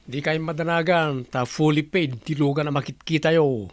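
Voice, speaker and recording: A man's voice: on the high side, steady tone, normal speed, no room sound, studio quality, close to an everyday voice. A man with an Ilocano accent acting in a radio play as a breezy, defensive, free-spending married son.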